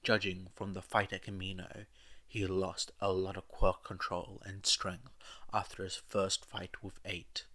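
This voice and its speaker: A man voicing a solemn young man, a ghostly mentor in an audio story, speaking in a measured, earnest way. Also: low voice, little pitch movement, bright, forceful tone, full character voice. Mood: bitter, grave.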